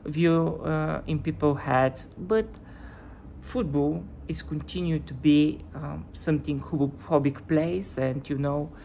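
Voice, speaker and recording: A woman with a Bulgarian accent, a native Bulgarian speaker, talking hesitantly to camera in a casual vlog and often pausing to search for words. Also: low voice, fairly even intonation, vocal fry, warm tone, slight background noise. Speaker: YouTuber.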